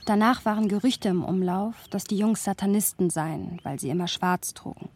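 A woman's voice: medium pitch, normal speed, darkish tone, husky. An actress playing a thoughtful, playful young woman who narrates a literary radio play. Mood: sober, reflective. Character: thoughtful, playful young woman recounting her close male friend. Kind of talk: literary scripted narration with lively dialogue.

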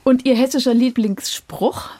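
A woman interviewing as a radio host, putting prepared questions in a measured, polite and articulate way. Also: high-pitched, fairly even intonation, mellow, dry recording, studio quality. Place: dry radio studio.

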